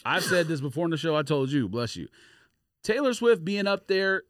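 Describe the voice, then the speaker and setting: A man's voice: a little high, sing-song, normal speed, a bit bright, no room sound, clean studio sound. A man co-hosting a podcast in casual, slangy sports banter. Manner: slangy, frequently says bro and fam. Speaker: podcast co-host.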